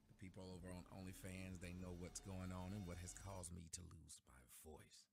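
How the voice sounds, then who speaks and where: A man's voice: deep voice, level tone, normal speed, vocal fry. Man streamer losing his voice, noisy. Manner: loose, needling banter with chat. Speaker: live streamer. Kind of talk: casual live-stream chat with viewers. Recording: noisy background under voice.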